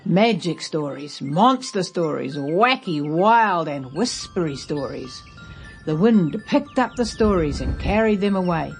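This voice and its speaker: A woman narrating a children's story aloud as a storyteller, expressive and emphatic. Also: lowish voice, unhurried, soft background music.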